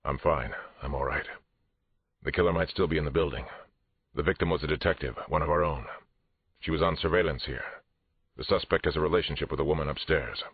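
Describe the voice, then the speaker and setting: A man's voice: fairly quick, pauses often, heavily acted. A man reading a first-person audiobook thriller as a police detective, tense, restrained and dramatic. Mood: tense, controlled. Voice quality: very deep, husky. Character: male police detective narrator, angry but controlled. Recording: roomy, muffled phone-like audio.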